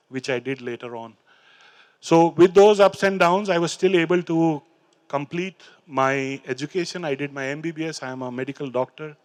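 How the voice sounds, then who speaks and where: A middle-aged man with an Indian English accent, speaking on stage into a handheld mic, telling his recovery story in an earnest, halting way. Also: on the high side, smooth. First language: Hindi or Urdu. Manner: earnest, halting, with fillers and restarts. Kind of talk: personal talk on stage, reciting poems. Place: large stage in a hall. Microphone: handheld microphone.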